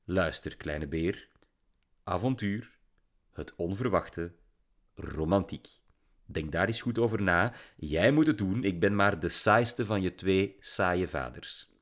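A man voicing a calm, analytical middle-aged father in an audiobook, measured and soothing. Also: deep voice. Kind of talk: audiobook character voice.

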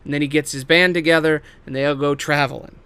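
A man giving a casual, semi-improvised story pitch as a host, emphatic and theatrical, swearing casually and dropping into offhand asides. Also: steady tone, quick pace, sharp tone, smooth, no echo. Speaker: host pitching video game movie adaptations.

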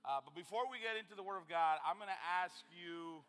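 A man's voice: high-pitched, brisk, fairly bright tone. A man from the Dominican Republic with a Dominican Spanish accent preaches informally as a youth pastor, stumbling over words and correcting himself. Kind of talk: sermon to a men's Bible study group. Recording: long hall reverb on the voice.

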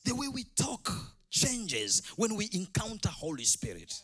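A man's voice: high voice, wildly swooping pitch, unhurried. A middle-aged man from Rwanda, preaching an impassioned sermon as a pastor in an emphatic, repetitive way. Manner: emphatic, repetitive, swinging between quiet asides and loud declamation.

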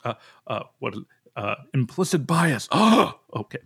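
A man, a pastor from the United States, hosting a casual Bible study podcast in an animated way, often restarting his sentences and leaping up in pitch to make a point. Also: unhurried, gravelly, breathy edge. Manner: animated, jumps up in pitch for emphasis, frequent restarts.

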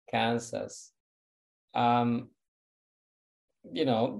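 A man interviewing a guest on a podcast, phrasing his questions with deliberate care. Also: mid-range voice, a little mellow.

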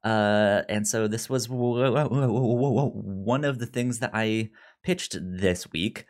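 A man's voice: on the low side, slow. A man co-hosting a casual movie review podcast, speaking enthusiastically and often repeating words as he thinks. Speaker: podcast co-host.

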